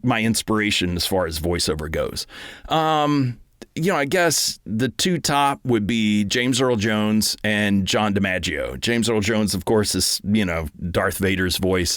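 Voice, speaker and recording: A middle-aged man and voice actor, talking casually from his home recording booth, relaxed and rambling with many ums and restarts. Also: on the low side, no room sound, clean studio sound. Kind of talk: casual talk to camera.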